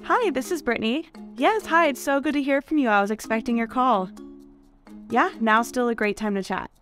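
A woman's voice: a little high, normal speed, a bit bright, natural voice. A young woman playing an attentive, courteous, enthusiastic job candidate with an American accent in a phone interview skit. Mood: polite, enthusiastic. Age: young adult. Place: indoor office meeting room. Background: background music.

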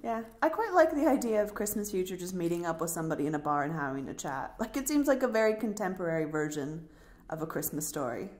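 A woman's voice: on the low side, animated, quick pace, a little mellow. A woman hosting a podcast, chatting casually as she introduces and discusses items. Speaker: podcast host. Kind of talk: casual chat, introducing plays.

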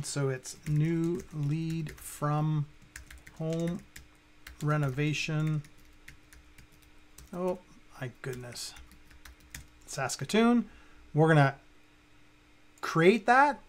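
A man in his fifties with a fairly high voice presents a software walkthrough. He speaks casually, talking himself through each step as he works on screen. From Canada. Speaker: tutorial presenter. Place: indoors, at a computer.

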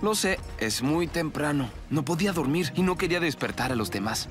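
A man's voice: fairly high pitch, animated, fairly quick, music in the background, close to an everyday voice. A man playing an earnest, polite, eager teenage boy hero in a Spanish-language cartoon dub. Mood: wistful, eager.

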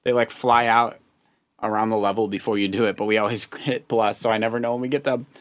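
A man's voice: lowish voice, steady tone, fast talker. A man giving casual, playful commentary as a co-host while playing a video game, sometimes slipping into sing-song asides. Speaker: let's play co-host, father playing with his child. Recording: game music behind the voice. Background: video game music.

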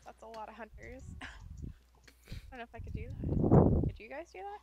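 A woman's voice: on the high side, lively intonation, moderate pace, gravelly, heavy background noise. A teenage girl chatting playfully and giggling during a game with friends. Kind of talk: casual gaming chat. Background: loud keyboard clicks.

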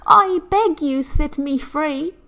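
A gentle storybook mermaid, played by a woman, pleads in a high voice. She is sad and plaintive, a captive longing to be free. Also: slow, creaky, exaggerated voice. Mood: pleading. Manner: plaintive, sighing.

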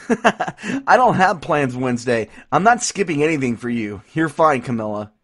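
A man's voice: on the high side, animated, unhurried, a bit bright. A young man playing an easygoing college student in an audio drama, dry and teasing. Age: young adult.

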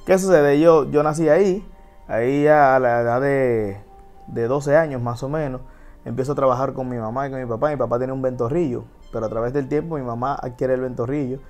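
Dominican man telling stories, relaxed. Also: mid-range voice, a little fast, soft background music. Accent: Dominican Spanish. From Dominican Republic. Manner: relaxed, chatty storytelling with frequent restarts. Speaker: guest DJ and TV host. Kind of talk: casual interview chat. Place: TV studio set.

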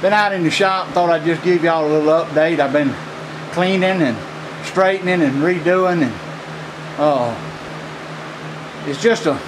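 A middle-aged man, a knife maker giving a casual, rambling tour of his small metal workshop, with many pauses and fillers. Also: a little high. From Louisiana, USA. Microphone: handheld camera mic. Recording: noisy handheld camera audio.

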